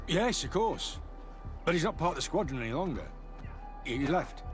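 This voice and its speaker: Nervy stiff young recruit in a game, defensive, slightly high voice, music under it. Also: male voice, very animated, moderate pace. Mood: evasive. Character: guarded, dutiful young male soldier recruit. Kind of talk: dramatic video game dialogue.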